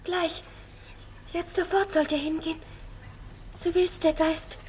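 Child medium in vintage German radio drama, tiny very high voice, halting trance delivery, slight background noise. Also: female voice, level tone. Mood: entranced, solemn. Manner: hushed, halting, trance-like questioning and repeating. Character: young girl medium, Betty, relaying a ghost's messages. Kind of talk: 1950s German radio play acting. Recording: faint noise, 1950s radio studio recording.

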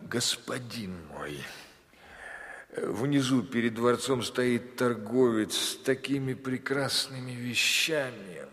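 Slow, reverberant, deferential vizier. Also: male voice, mid-range voice, fairly bright tone. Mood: coaxing. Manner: courtly, ornate, fawning address. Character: deferential, eager vizier serving a young caliph. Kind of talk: audio fairy-tale drama acting. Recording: long hall reverb.

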